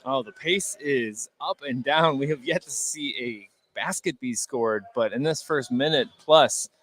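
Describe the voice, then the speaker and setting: A man giving live colour commentary on a basketball game, analysing in a conversational, halting way. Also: medium pitch, fairly bright tone, rough recording. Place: indoor high school gymnasium.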